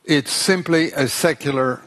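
Male preacher, measured and unhurried, slightly high voice. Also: a bit bright. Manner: slow, deliberate, pausing for interpreter. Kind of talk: sermon with live interpretation.

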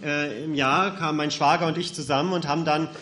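A man's voice: fairly high pitch, steady tone, brisk, bright, forceful tone. A man interpreting a speaker's testimony phrase by phrase, matter-of-fact, with occasional hesitation sounds. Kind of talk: consecutive interpreting.

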